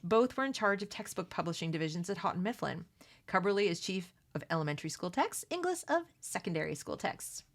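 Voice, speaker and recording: A woman's voice: on the low side, very animated, a little fast, gravelly. A middle-aged American woman giving opinionated, unscripted commentary while reading aloud from a book, with conversational asides and rhetorical questions. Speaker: host of a read-along commentary video.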